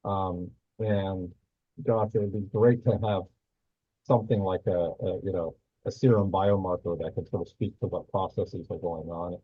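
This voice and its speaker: A man with a low, husky voice asks a question over a video call, hesitating and restarting his sentences. The line is narrow and phone-like, and the sound is dry and close. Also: no room sound. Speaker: audience member asking a question. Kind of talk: webinar Q&A.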